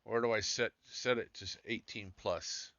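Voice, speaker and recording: A male game streamer with a scratchy, fairly low voice that has a bright, forward edge. He murmurs to himself as he works through menus. The close mic sounds dry and a little dull. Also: fairly even intonation, measured pace, dry recording. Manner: muttering while searching through settings. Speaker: gaming streamer.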